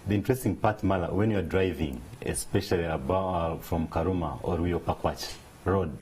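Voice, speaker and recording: Man, business guest, deep and warm, earnest, measured delivery. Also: slight background noise. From Uganda. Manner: measured, earnest, explanatory, with restarts. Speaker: interview guest, business leader. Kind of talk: studio interview.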